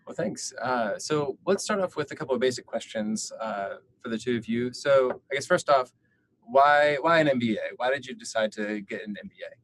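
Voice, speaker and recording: A relaxed man hosts an admissions webinar, asking short questions with a few uhs. His voice sits at an ordinary middle pitch. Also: normal speed, slight background noise. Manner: relaxed, brief prompts, some fillers. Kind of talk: hosting a webinar.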